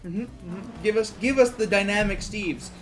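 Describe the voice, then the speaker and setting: A playful man from Quebec joins in as co-commentator on a video game. His voice sits a bit high, and he chats casually with a teasing, sarcastic bent over faint music. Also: normal speed, fairly bright tone. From Quebec, Canada. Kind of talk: casual joking chat over gameplay.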